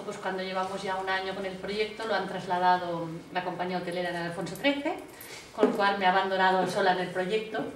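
A woman with a Catalan accent talks about herself fluently and conversationally. She sounds distant in an echoey room with steady background noise. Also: medium pitch. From Barcelona, Spain. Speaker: marketing director and caterer. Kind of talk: self-introduction at a meetup.

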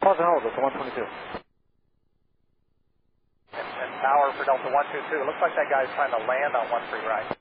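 A man's voice: medium pitch, normal speed, pauses often, noisy background. A man working as an airline pilot, speaking casually over a cockpit radio. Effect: cockpit radio transmission. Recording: staticky narrowband cockpit radio.

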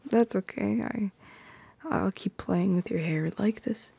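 A woman playing an affectionate, teasing girlfriend in an ASMR roleplay, soft and breathy, often slipping into whispers, close to the mic. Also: medium pitch, full character voice. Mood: tender, apologetic.

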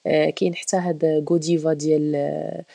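A woman's voice: sits low, level tone, quick pace, gravelly, dark tone, no echo. A woman with a Moroccan Arabic accent, chatting casually as a travel vlogger with many hesitations and fillers. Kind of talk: casual travel vlog voice-over.

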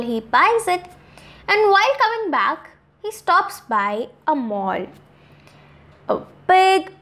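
A woman in her twenties with an Indian accent narrates a moral story for children, expressively, with dramatic pauses. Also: high-pitched. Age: 20s. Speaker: storyteller, host.

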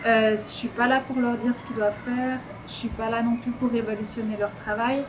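A woman's voice: fairly high pitch, level tone, brisk, noisy background. A woman in her thirties, a volunteer, speaking earnestly and thoughtfully outdoors with some hesitation. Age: 30s. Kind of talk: casual testimonial.

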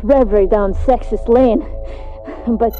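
An actress playing a dry, sardonic woman space explorer in a radio drama, with a wry, unhurried manner. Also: on the high side, warm tone, dull audio, soft background music, natural voice.

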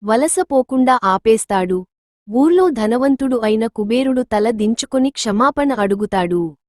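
A woman narrates a scripted moral tale as the storyteller. Her voice sits fairly high, and the recording is close and dry with no room sound. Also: expressive, measured pace, darkish tone, dry recording.

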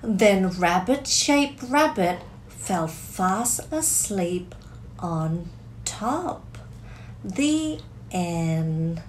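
A woman in her thirties reading a picture book aloud to children, expressive and deliberate, drawing out key words in a small room. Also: on the low side, a little hiss or hum. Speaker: storyteller, teacher reading to children. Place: small curtained room indoors.

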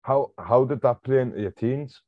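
A man's voice: mid-range voice, no echo. A podcast host in his thirties puts questions to his guest, often stopping and starting over before he gets them out. His voice comes through a little dull and muffled, and the sound is dry with no room around it. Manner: halting, restarts questions. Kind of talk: interview questions. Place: home studio room.

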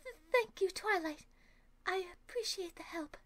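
A woman voicing a timid, gentle young cartoon pegasus pony, softly and with shy hesitation. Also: extremely high pitch, moderate pace, pitched above the performer's own voice.

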